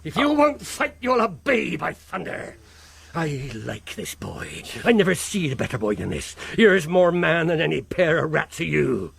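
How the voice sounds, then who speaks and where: An actor playing a wily middle-aged pirate captain in a radio drama, with a theatrical seafaring dialect, moving between wheedling joviality and commanding menace. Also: male voice, on the high side, sing-song, measured pace, creaky, a bit bright, slightly rough, exaggerated voice. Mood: fierce command.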